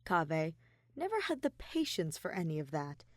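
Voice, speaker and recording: A woman reading a piece of fiction aloud as an expressive, emphatic narrator. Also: deep voice, moderate pace, dry recording, clean studio sound. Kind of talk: expressive scripted story narration.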